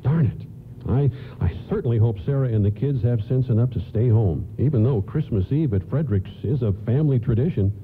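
A man's voice: medium pitch, fairly quick, husky, close to an everyday voice. An actor playing a polite, anxious father and train passenger in a radio play. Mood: worried. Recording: thin phone-like band, noisy radio broadcast.

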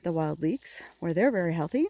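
A middle-aged woman walking through spring woods, filming on a phone held at arm's length, gently explaining how she forages. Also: lowish voice, expressive. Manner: gentle, admiring, unhurried explaining. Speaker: forager showing her land. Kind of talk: casual outdoor how-to narration. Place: spring woodland outdoors by a river. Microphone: phone camera held at arm's length.

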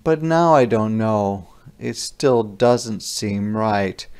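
A man reads a children's story in a clear, resonant voice with some gravelly fry at the edges. He goes very slowly and deliberately. Key words get dramatic weight, and line endings are drawn out. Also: lowish voice, lively intonation, darkish tone. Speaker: storybook reader. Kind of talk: expressive scripted children's read-aloud.

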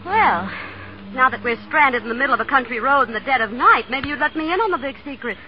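A woman's voice: sits high, lively intonation, fast talker. An actress playing a pampered, plaintive, spirited young woman in a 1930s radio drama. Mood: plaintive, indignant. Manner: plaintive, questioning. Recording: dull, noisy 1930s radio recording.

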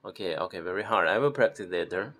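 A man from Taiwan, practicing pronunciation slowly and repeating words as he corrects himself. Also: low-pitched, expressive. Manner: slow, repeats words, self-correcting with soft laughs. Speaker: language learner. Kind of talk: self-recorded pronunciation practice.

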